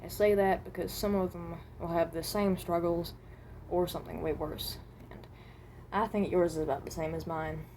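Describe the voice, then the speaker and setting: A woman's voice: on the low side, steady tone, normal speed, darkish tone, slight background noise, lightly acted, poor audio. A woman playing a guarded, awkward animatronic possessed by someone who died at seventeen, in a hesitant, rambling amateur monologue. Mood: earnest, hesitant. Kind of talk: amateur character voice acting, improvised monologue.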